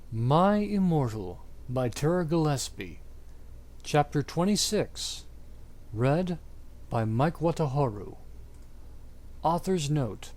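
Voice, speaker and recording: A man performs a dramatic reading in a fairly high voice. His pitch moves widely and his pace is slow. The delivery is exaggerated and amateur, liable to stumble or crack up. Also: darkish tone. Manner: breaks into laughter and stumbles while reading. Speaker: amateur reader. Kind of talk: dramatic reading of fan fiction.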